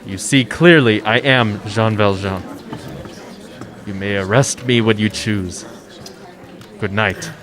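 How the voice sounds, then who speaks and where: An actor playing a dignified mayor with a convict past in a radio drama, solemn, deliberate and oratorical. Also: male voice, lowish voice, a crowd in the background. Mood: resolute.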